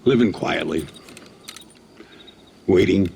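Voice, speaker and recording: An old gunfighter from a western game, American. His voice is deep and creaky, and he talks with dry, weary understatement. Faint outdoor noise sits behind him. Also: darkish tone, heavily acted. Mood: wry, weary. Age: older. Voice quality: gravelly. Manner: dry, slow, world-weary. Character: weary, laconic old gunslinger, once famous. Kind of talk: video game cutscene acting.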